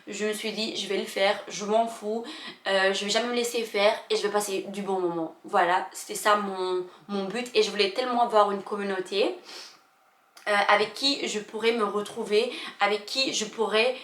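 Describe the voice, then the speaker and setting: A young woman vlogger, not a native French speaker, telling a personal story in an emotional, halting way with many fillers and restarts. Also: medium pitch, fairly even intonation, fairly bright tone. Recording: echoey room.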